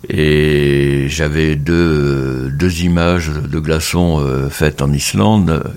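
Man, very low voice, slow pace, hesitant with fillers, interview guest. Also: fairly even intonation, a little mellow, clean tone. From Mediterranean, between Tunisia and Marseille. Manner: halting, many pauses and fillers. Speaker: photographer, guest. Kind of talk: radio interview.

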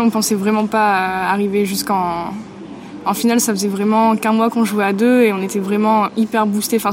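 A woman's voice: medium pitch, a little mellow. A woman in a band describes her work in a mid-range voice. She talks easily and at length, sprinkling in fillers. Faint ambient noise sits behind her. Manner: chatty, frequent fillers. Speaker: musician in a duo. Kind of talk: casual interview answers.

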